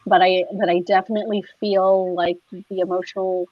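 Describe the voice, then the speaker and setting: A woman's voice: on the low side, little pitch movement, fairly quick, no room sound. A woman chatting casually as an interview guest, talking loosely with many "like" fillers and restarts. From United States. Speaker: guest, clinical social worker. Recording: dry, slightly muffled lo-fi audio.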